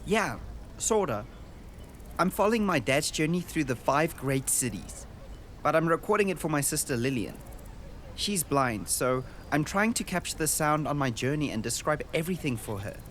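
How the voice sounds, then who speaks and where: A man's voice: fairly high pitch, very animated, normal speed, a bit bright. Man playing an earnest boy traveler, noisy ambience. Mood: friendly, eager. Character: earnest, wonder-struck boy recording a journey for his sister. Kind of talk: radio drama narration and dialogue. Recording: noisy outdoor ambience behind the voice.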